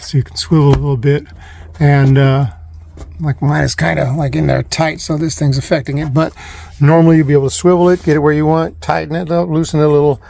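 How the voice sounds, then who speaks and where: Male DIY vlogger, slightly high voice, informal rambling how-to delivery, handheld recording with faint noise and clicks. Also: flat delivery, a little fast, creaky, darkish tone. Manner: informal, rambling, repeats himself. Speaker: vlogger showing a DIY installation. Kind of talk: casual hands-on how-to narration. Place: inside and around a parked van.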